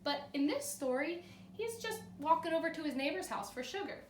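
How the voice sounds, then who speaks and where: A woman teacher explaining a story to children in an animated way, often checking understanding with short questions. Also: sits high, fairly quick. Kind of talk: explanatory read-aloud commentary for children. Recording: roomy echo, far from mic, faint noise.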